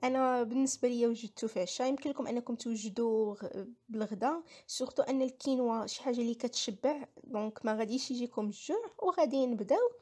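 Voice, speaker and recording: A woman's voice: a little high, a little mellow. A woman with a Moroccan accent giving a casual recipe voice-over, explaining each step and repeating herself. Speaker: home cooking vlogger.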